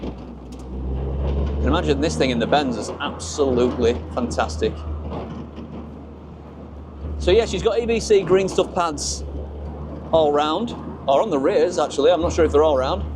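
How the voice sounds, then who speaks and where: Yorkshire man chatting over noisy car ambience. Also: fairly high pitch. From Yorkshire, England. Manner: chatty, colloquial British slang. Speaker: car review presenter. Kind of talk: casual car vlog commentary. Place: in and around a small open-top car outdoors.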